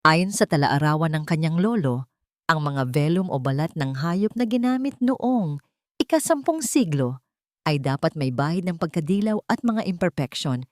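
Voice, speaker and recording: A woman's voice: low-pitched, lively intonation, normal speed, darkish tone, no room sound. A woman with a Filipino accent reading a scripted story as a dramatic narrator.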